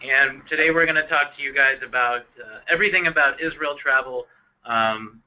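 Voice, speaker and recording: A man's voice: medium pitch, normal speed, pushed and bright, low-quality recording. A man from Ohio giving a casual webinar presentation, chatty and loose with many ums and restarts. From Ohio, United States. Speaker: tourism office presenter.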